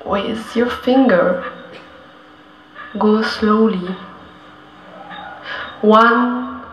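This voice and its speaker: A woman in her thirties from Belgium telling a story as slow spoken word, in a dreamlike, deliberate manner with long pauses between short phrases. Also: fairly high pitch, slight background noise. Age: 30s. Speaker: sound artist performing. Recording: roomy echo under loud music.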